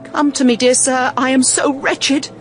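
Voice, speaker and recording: A woman's voice: high-pitched, fairly even intonation, measured pace, soft background music. A woman playing a sly, insistent serving maid who coaxes and insinuates in a period radio drama. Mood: goading, insinuating. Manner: coaxing, knowing, insinuating.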